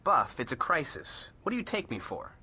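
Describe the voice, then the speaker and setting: An actor playing a cocky, joking teenage sidekick with an American accent in a video game, full of sarcasm. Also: male voice, a little high, huge pitch swings, normal speed, sharp tone, slightly rough, heavily acted. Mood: mock-offended.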